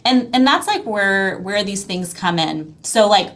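A woman guest in a casual interview, explaining her ideas with examples and repeating points in an animated way for emphasis. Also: medium pitch, unhurried. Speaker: podcast guest, founder of a language-learning company.

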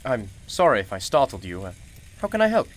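A man's voice: medium pitch, wildly swooping pitch, fairly quick, soft background music. A man playing a genial, mysterious old-fashioned shopkeeper in a radio play, with formal, courtly and unflappable phrasing. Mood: solicitous.

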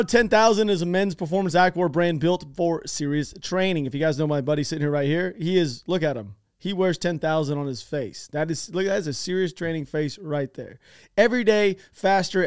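An American man hosting a podcast, excitable and swearing freely, chatting and doing ad reads. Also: a little high, a little fast, clear, resonant tone, dry recording, clean studio sound. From United States. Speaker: podcast host. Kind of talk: casual chat with ad read.

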